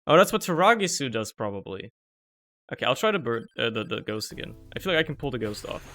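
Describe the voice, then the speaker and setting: A man's voice: medium pitch, big pitch swings, fast talker, fairly bright tone. Young man rambling over a game. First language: German. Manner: rambling, joking, swears often. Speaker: streamer. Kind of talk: casual gameplay commentary.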